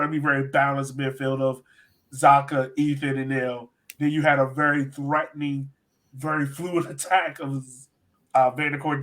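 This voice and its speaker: Higher-voiced American man hosting sports chat. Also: fairly bright tone. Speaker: sports podcast host. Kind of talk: casual soccer analysis chat.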